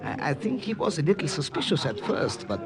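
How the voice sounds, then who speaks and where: An actor playing a mild, cautious professor working as an undercover spy, speaking hesitantly in a 1950 radio drama. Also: male voice, a little high, huge pitch swings, fairly quick, a crowd in the background. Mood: conspiratorial. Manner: hesitant, careful. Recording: vintage radio recording, loud music behind.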